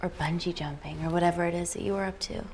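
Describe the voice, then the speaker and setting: A woman's voice: fairly low pitch, fairly even intonation, fast, gravelly, a little hiss or hum. A young woman acting as a guarded, sardonic scientist in a drama, dry and pointed in manner. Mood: bitter, sarcastic. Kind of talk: naturalistic screen acting. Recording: background music under dialogue.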